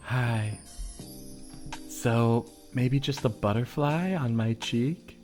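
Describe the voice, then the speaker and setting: A man's voice: mid-range voice, very slow, a little mellow, faint music behind. A man playing a shy, agreeable fellow, hesitant and accommodating, in improvised audio comedy. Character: shy, agreeable man at face-painting meetup.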